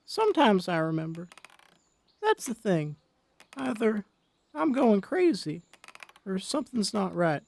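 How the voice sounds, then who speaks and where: A man voicing a frail, anxious elderly wife with a creaky voice in an audio story. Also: sits high, sing-song, unhurried, pauses often, darkish tone, pitched above the performer's own voice, heavily acted. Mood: troubled, wistful.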